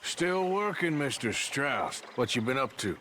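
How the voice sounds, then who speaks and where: An actor playing a gruff, sardonic, weary outlaw gunman, terse and dry, in a Western video game. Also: medium pitch, very animated, unhurried, pushed and bright, slight background noise. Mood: gruff, wry.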